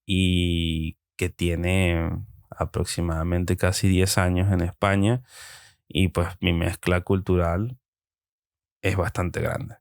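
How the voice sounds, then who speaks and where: A young Venezuelan man with a Venezuelan accent hosting a solo podcast, talking reflectively and pausing often with hesitant fillers. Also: low-pitched, little pitch movement, vocal fry, clean tone, no echo, studio quality. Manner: hesitant, with frequent ums and pauses. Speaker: podcast host.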